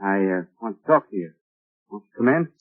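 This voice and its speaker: A man's voice: on the low side, expressive, fairly quick. A man playing a nervous, guilty accomplice in a 1930s radio drama, hesitant and stammering, on a tinny broadcast recording.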